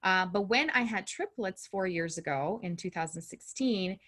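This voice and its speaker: A woman's voice: mid-range voice, animated, moderate pace, a bit bright. A woman in her thirties from Brazil, whose first language is Portuguese, talking candidly as a coaching guest and laughing easily. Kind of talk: interview conversation.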